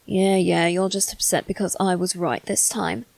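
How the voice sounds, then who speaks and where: A woman's voice: lowish voice, level tone, normal speed, gravelly, a little mellow, dry recording. A woman acts out a brash demon servant who loves to bicker with his brothers. He talks casually and cheekily, in an ASMR roleplay style. The recording is dry and close. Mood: defiant. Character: brash, argumentative, mischievous male demon servant triplet. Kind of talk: ASMR roleplay addressed to the listener.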